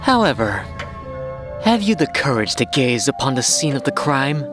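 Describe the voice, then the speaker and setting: A woman playing a sly, mysterious and playfully clueless gentleman in a manga dub, with florid, teasing speech. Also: a bass voice, very animated, normal speed, music in the background. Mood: ominous. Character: sly, languid, mysterious male aristocrat's associate, playfully clueless. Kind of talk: scripted anime-style manga dub acting.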